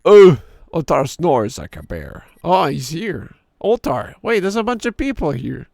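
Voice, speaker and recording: Hoarse gravelly man in his thirties. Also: sing-song, a little slow, darkish tone, dry recording, studio quality. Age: 30s. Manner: drops into a deep storyteller voice for the narration. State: hoarse, sore throat. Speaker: streamer. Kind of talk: live game playthrough, reading story text aloud.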